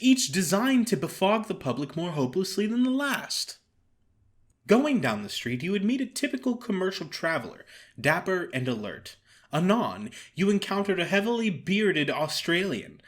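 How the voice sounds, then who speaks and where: A man narrating a story in a theatrical, formal and expressive way, as an actor performing an audio drama. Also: high voice.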